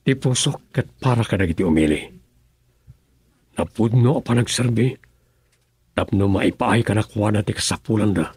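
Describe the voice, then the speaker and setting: An actor in an Ilocano radio drama playing an earnest male governor who speaks calmly and sincerely. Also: fairly low pitch, moderate pace, long pauses, slightly rough, no room sound. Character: earnest adult male governor devoted to public service.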